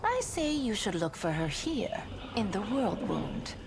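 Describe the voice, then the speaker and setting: A woman's voice: medium pitch, big pitch swings, unhurried, a little hiss or hum. A woman voicing a sly, mocking female demon in a video game, theatrical, self-assured and mockingly polite. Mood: scheming, assured.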